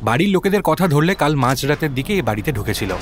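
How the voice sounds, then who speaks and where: A man playing a sharp, deferential junior police officer in an audio drama, reporting analytically and mixing in English words. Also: on the high side, sing-song, quick pace, a little hiss or hum. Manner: reporting to a superior, peppers speech with English. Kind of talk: detective audio drama acting.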